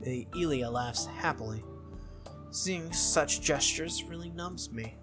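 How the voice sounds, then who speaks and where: A game playthrough host reading visual novel text aloud as expressive narration, with casual asides. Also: mid-range voice, slow pace, music in the background.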